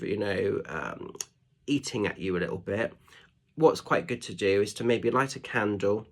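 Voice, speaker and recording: Male medium with a fairly low, husky, nasal voice; chatty, rambling, reassuring card reading. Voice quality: nasal, stuffed-up. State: congested with hay fever or a cold. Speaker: spiritual medium and tarot reader. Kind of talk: casual oracle card reading.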